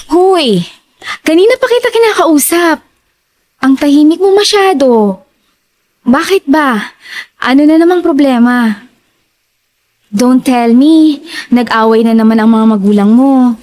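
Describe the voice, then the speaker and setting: A woman playing a chatty, blunt young best friend in an audio drama, teasing and sharp-tongued. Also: high voice, lively intonation, a little slow, long pauses. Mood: teasing, nosy.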